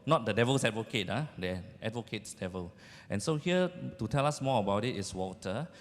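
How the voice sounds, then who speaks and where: A man's voice: fairly low pitch, expressive, brisk. A man with a Singaporean accent informally moderating a panel on stage. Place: large indoor event hall with seated audience. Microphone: handheld microphone. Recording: echoey event hall.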